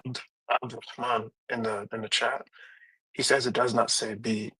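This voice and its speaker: A man's voice: mid-range voice, flat delivery, normal speed, bright, forceful tone, slightly rough, low-quality recording. A man in a casual live-stream debate, talking bluntly and challenging others. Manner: blunt, challenging, reads scripture aloud. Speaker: debate panelist.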